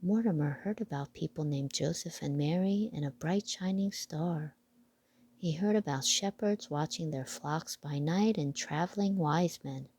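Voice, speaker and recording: A woman reading a children's picture book aloud with deliberate storytelling phrasing. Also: sits low, darkish tone, slightly rough.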